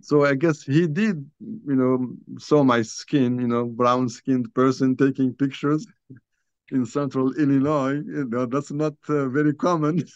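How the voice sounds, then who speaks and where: Older algerian guy telling his story on a video call, halting with lots of restarts, dull dry sound. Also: mid-range voice, fairly even intonation, no room sound. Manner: halting, restarts often, many you-knows. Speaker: guest author. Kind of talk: conversational storytelling. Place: small home room, indoors.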